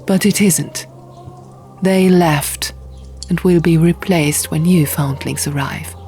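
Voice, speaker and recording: A woman voicing a stern, cold abbess who scolds in a quiet, measured, condescending way. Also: sits low, faint music behind. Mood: cold, scolding.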